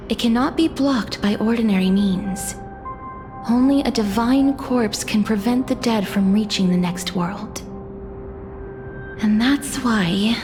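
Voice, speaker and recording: A woman playing a gentle, solemn and wistful young woman in a fantasy game, soft-spoken and measured with breathy moments. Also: mid-range voice, lightly acted. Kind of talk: scripted fantasy game dialogue. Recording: music behind the voice.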